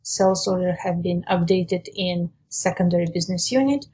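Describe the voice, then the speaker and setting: A woman presenting a software webinar, explaining a step-by-step demonstration in a methodical way. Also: lowish voice, moderate pace, low-quality recording.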